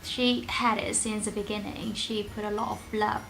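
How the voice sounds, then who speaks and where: A woman's voice: medium pitch, flat delivery, normal speed, creaky, noisy background. A young woman from Vietnam with a Vietnamese accent, chatting casually in a couple's Q&A video. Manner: chatty, acts out her own worried questions. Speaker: vlogger. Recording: background music under the talk.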